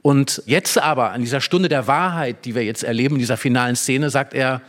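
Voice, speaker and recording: A man's voice: medium pitch, a little fast. A man discussing a play in an emphatic, explanatory, analytical way. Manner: explanatory, emphatic, acts out short quotes. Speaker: literary discussion speaker.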